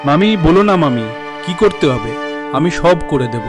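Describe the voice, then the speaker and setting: A man's voice: a little high, animated, measured pace, music in the background. A man voicing a pleading, childlike village boy who returns as a ghost in a horror cartoon. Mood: eager, innocent. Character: dead nephew's ghost, a pleading eager young village boy. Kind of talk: animated horror story acting.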